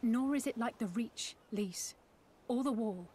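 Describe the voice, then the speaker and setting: An actress playing an earnest, pragmatic young strategist in a video game, speaking in a measured, articulate, formal way. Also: female voice, on the high side, dark tone, slight background noise, natural voice. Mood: grave, pragmatic.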